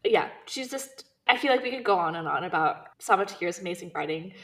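A woman's voice: on the low side, expressive, a little fast, fairly bright tone. Woman chatting emphatically about books. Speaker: co-host of a book discussion podcast. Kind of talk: casual book chat.

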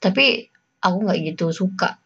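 A woman's voice: medium pitch, moderate pace, a bit bright, breathy edge. A young woman from West Kalimantan with a mixed Malay and Javanese accent, hosting a casual solo podcast in a chatty, giggly, rambling way. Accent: Malay-tinged Indonesian with Javanese roots. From West Kalimantan, Indonesia. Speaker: podcast host. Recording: dull lo-fi sound.

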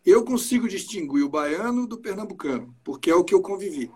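A man's voice: sits high, lively intonation, brisk, a little mellow, husky. A man from Espírito Santo with a capixaba accent, chatting casually over a video call and often repeating words as he starts a sentence. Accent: capixaba Portuguese. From Espírito Santo, Brazil.

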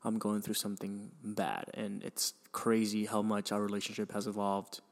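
A young man from Venezuela talks through his own story on his podcast. His voice sits low and keeps to a narrow, level pitch. He pauses, sighs and restarts often as he thinks aloud. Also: level tone, vocal fry. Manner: hesitant, many restarts and fillers, sighs. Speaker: podcast host. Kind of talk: reflective personal storytelling.

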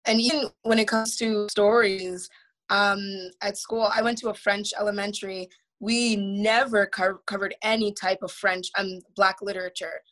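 A woman in her thirties in a casual video-call discussion, lively and playful. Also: mid-range voice, moderate pace, rough recording. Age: 30s. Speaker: panelist.

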